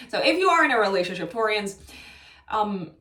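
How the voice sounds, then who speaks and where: A woman's voice: mid-range voice, sing-song, a little fast, bright, forceful tone. A woman with a Romanian accent giving a horoscope reading, thoughtful and hedging, with many fillers and restarts. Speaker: astrologer. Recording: echoey room, mic at a distance.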